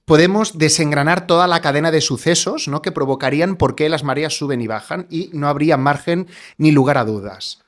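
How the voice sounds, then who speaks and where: Male guest, somewhat high voice, hesitant explainer on a podcast mic. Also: a little fast. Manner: hesitant, many fillers and rhetorical tags. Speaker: scientist guest. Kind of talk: explanatory interview talk.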